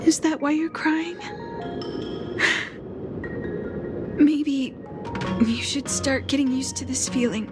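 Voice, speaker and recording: An actress voicing a gentle, calmly wise blind healer in a video game, speaking softly in a measured, soothing, earnest way. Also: female voice, sits high. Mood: kind but firm. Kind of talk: scripted video game voice acting. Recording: background music under the voice.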